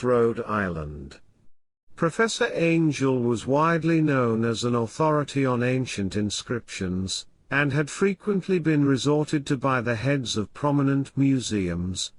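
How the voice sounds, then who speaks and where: A man playing a learned, formal professor who narrates literary horror in a grave, measured manner. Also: mid-range voice, natural voice. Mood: grave, scholarly. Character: learned male professor reading weird tales, grave and formal. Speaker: narrator and host.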